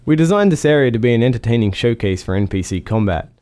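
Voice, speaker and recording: A man's voice: mid-range voice, lively intonation, fast, dark tone. A man giving scripted commentary as a game developer on design decisions.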